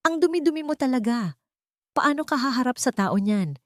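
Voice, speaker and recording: A woman's voice: sits high, lively intonation, normal speed, dry recording, clean studio sound, voice pushed up. A woman voicing a haughty, scheming best friend in an audio drama, snappish, bossy and menacing. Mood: angry, bullying. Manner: snappish, domineering, commanding.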